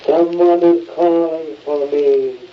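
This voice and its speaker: A man playing a sepulchral ghost from the hereafter in an old radio drama, with a hollow, drawn-out voice through a megaphone. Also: on the high side, steady tone, faint music behind. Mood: eerie, mournful. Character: sepulchral fake male ghost called from the hereafter. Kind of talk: old-time radio acting. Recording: distant, muffled old radio recording.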